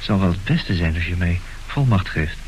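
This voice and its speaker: An actor playing a smooth-talking, greedy marriage swindler in a radio play, glib, wheedling and falsely tender. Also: male voice, low-pitched, expressive, normal speed. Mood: scheming. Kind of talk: Dutch radio play acting. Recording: old radio recording, faint hiss.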